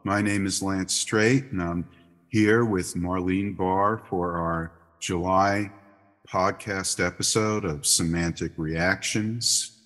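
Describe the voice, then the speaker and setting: A man hosting a podcast, asking interview questions in a measured, hesitant way with frequent uhs. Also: sits low, fairly even intonation, a bit bright, long reverb tail.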